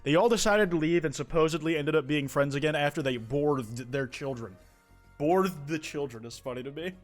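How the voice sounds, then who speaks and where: A man's voice: fairly high pitch, brisk, faint music behind. A young man from the United States chatting casually on a live stream, reading viewer confessions aloud, rambling and swearing with many restarts.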